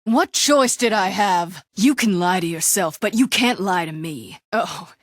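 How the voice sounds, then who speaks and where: A woman's voice: medium pitch, expressive, measured pace, no room sound, clean studio sound. A woman voicing a bitter, defiant witch who calls herself an old hag, sardonic and world-weary, in a dramatic video game performance. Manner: sardonic, world-weary, pleading when threatened.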